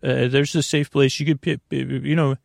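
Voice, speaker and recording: A man hosting a bedtime podcast, talking in a meandering, gentle way full of fillers and restarts. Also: medium pitch, level tone, moderate pace, gravelly, no echo, studio quality. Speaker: podcast host. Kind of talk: rambling soothing bedtime podcast talk.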